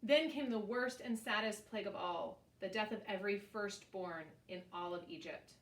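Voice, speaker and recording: A woman reading scripture aloud with her family during a church service, in a measured, solemn way. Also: mid-range voice. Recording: echoey church, voice picked up from a distance.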